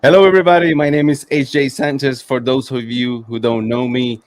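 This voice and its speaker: A man's voice: mid-range voice, fairly even intonation, a little fast, faint music behind. A middle-aged man hosting a podcast in a casual interviewing style. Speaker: podcast host.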